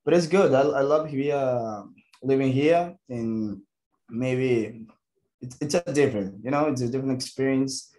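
Mexican man speaking halting English. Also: medium pitch, dark tone, poor audio. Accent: Mexican Spanish. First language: Spanish. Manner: halting, searching for English words, many restarts. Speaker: football player. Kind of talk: press conference answers.

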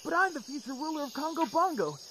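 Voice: high voice, expressive, quick pace, crowd noise. A performer voicing a young, eager, petulant male ape heir with a whiny, insistent manner. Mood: frustrated. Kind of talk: animated voice acting in a game parody.

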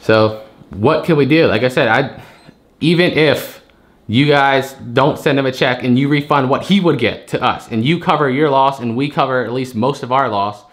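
A man's voice: mid-range voice, moderate pace. A man, a storage unit buyer arguing his case, frustrated and rambling, repeating himself as he pushes his point. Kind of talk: heated phone argument.

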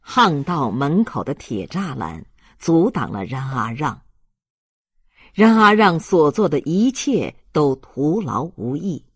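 A man reading a novel aloud as a dramatic, deliberate audiobook narrator. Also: on the high side, sing-song, slightly rough, no room sound, clean studio sound.

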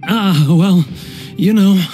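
High-voiced, nervous impostor. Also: male voice, takes it slowly, warm tone. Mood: nervous, bluffing. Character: nervous male impostor posing as a guard. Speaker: game character voice. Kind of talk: scripted video game dialogue. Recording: music behind the voice.

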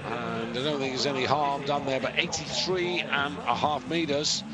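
A man giving live, measured commentary on a ski jumping competition, explaining scores and distances with pauses and fillers. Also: medium pitch, fairly even intonation. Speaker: sports commentator.

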